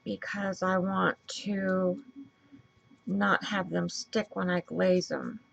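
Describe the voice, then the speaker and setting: A woman with a raspy voice and a stuffy nose, chatting casually as she works through a crafting tutorial and thinks aloud. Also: lowish voice, steady tone, slow pace, dull audio. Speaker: craft video host.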